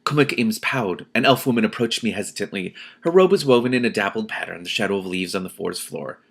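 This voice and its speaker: Expressive low-voiced man reading aloud. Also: quick pace, creaky, breathy edge. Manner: expressive, exclamatory, breaks off to comment. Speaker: reader and commentator, host of a read-aloud series. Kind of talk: reading a novel aloud with spontaneous reactions.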